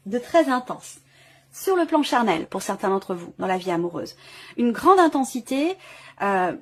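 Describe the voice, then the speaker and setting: A woman with a fairly high voice hosts an intuitive tarot reading. She speaks off the cuff, searching for her words and rephrasing ideas as she interprets. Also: husky. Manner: hesitant, searching phrasing with repeated rewording. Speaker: tarot reader hosting a video reading. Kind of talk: intuitive tarot reading, improvised spoken commentary.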